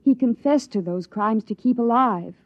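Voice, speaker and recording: A woman's voice: fairly high pitch, normal speed, no room sound. Young female voice, earnest loyal daughter, 1950s radio drama, dry dull recording. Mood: subdued, defiant.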